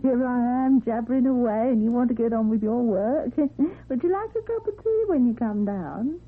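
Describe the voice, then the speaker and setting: A woman's voice: on the high side, moderate pace, husky. An actress playing a fussing, talkative, matter-of-fact landlady in a radio drama. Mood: chatty. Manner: talkative, animated. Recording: tinny narrow-band old radio recording.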